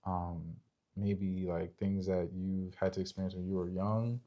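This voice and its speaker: A man's voice: low voice, flat delivery, unhurried, highs cut off. A young man co-hosting a podcast, talking casually about relationships and explaining step by step while checking for agreement. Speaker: podcast co-host.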